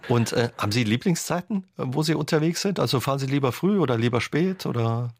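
Husky-voiced male radio interviewer. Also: mid-range voice, expressive, fast talker, no echo, clean studio sound. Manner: brief encouraging interjections, friendly questions.

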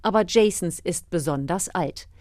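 A woman's voice: fairly low pitch, normal speed, a little mellow, no echo, studio quality. A woman reading scripted documentary narration as the reporter of a radio feature.

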